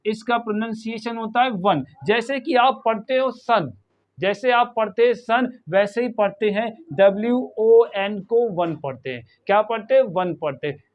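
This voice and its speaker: A man's voice: high voice, measured pace. A man with an Indian accent teaching English pronunciation, spelling out words letter by letter and asking the viewer questions over and over. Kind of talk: slow spoken lesson mixing Hindi and English.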